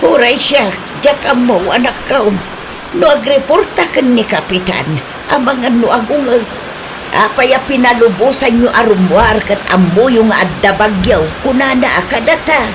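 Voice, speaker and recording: A worried village mother, played by a woman, who scolds and pleads in a high voice. Steady rain hisses behind every line she speaks. This is heard in an audio drama. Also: moderate pace, natural voice. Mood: scolding. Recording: rain and ambience noise behind.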